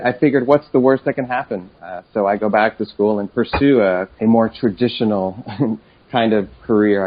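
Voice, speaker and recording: A man's voice: lowish voice, highs cut off. A man in his forties, from Chile, a career coach guest telling his story upbeat and enthusiastically. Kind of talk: interview conversation.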